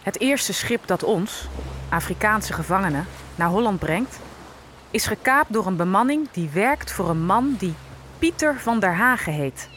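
A captive African girl tells her story as a radio play monologue. Her voice sits at a middle pitch, with noticeable ambient noise behind it. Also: animated, unhurried, a bit bright. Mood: solemn narration. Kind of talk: audio drama monologue.

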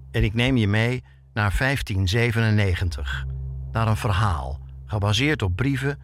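A man's voice: lowish voice, a little hiss or hum. Man narrating a scripted documentary. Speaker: reporter and podcast narrator.

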